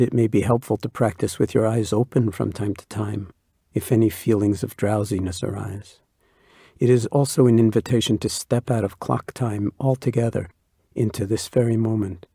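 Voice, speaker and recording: A man leading a guided body scan meditation, speaking slowly in a soft, soothing way. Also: lowish voice, slightly rough, dry recording, studio quality. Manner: slow, soft-spoken and soothing.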